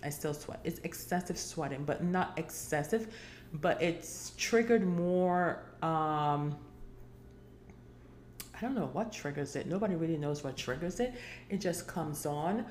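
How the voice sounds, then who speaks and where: A woman's voice: deep voice, a little slow, darkish tone, reverberant, slight background noise. A woman in her forties hosting a casual solo podcast, chatty and rambling, with many fillers and restarts. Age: 40s. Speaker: podcast host.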